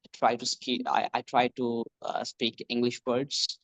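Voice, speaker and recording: A man's voice: mid-range voice, completely flat delivery, a little slow, fairly bright tone, dry recording. A young man from Pakistan, a computer science student, speaking English as a learner in a casual practice conversation, hesitant with many fillers and restarts. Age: young adult.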